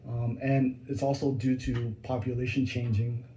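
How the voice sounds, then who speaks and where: A man's voice: medium pitch, flat delivery, moderate pace, warm tone. A man, a fire department captain, plainly answering questions from commissioners at a public meeting. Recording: echoey room with faint ambience.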